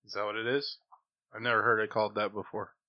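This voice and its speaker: A man's voice: lowish voice, flat delivery, quick pace, dry recording, lo-fi sound. A man chatting and joking informally as a player in a tabletop roleplaying game. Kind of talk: casual chat and role-play.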